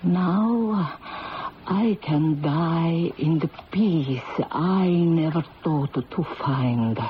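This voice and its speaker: An actress playing an ancient, dying Italian grandmother who tells fortunes, speaking with an Italian accent in a halting, theatrical, mournful way in a radio drama. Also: low-pitched. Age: older. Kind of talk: 1970s radio drama acting. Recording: muffled, noisy old radio broadcast.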